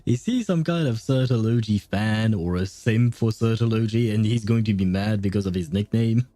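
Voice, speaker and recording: A man's voice: on the low side, moderate pace, no echo. A man livestreaming a video game with casual, chatty commentary, thinking aloud as he plays. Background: soft game music.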